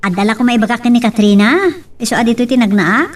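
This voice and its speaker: A woman's voice: fairly high pitch, fast talker, far from the mic, lightly acted. A woman playing a sociable young friend in an audio drama, with an Ilocano accent. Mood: friendly, inviting. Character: sociable young female friend doing the inviting.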